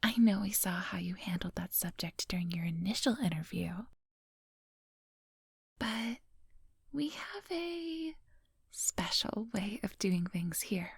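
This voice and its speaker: A woman playing a coolly menacing, coaxing vampire hypnotist in a soft whispered ASMR roleplay, close to the microphone. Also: medium pitch, expressive, gravelly, breathy edge, no room sound, full character voice. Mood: smoothly professional. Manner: slow, coaxing, hypnotic instructions. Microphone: close microphone, dry.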